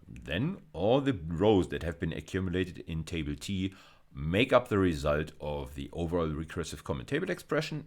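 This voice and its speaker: A man giving an explanatory lecture, going step by step and repeating key phrases for emphasis. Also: low voice. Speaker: university lecturer.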